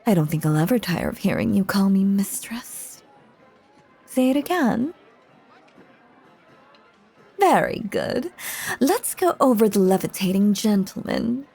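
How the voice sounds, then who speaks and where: A woman playing a possessive, lovestruck stage magician in a roleplay audio drama, theatrical and doting, often breathy or whispering. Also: medium pitch, big pitch swings, mellow, faint music behind, full character voice. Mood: pleased, coaxing. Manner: theatrical, doting, drawn-out hypnotic cooing. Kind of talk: roleplay audio drama, intimate second-person monologue.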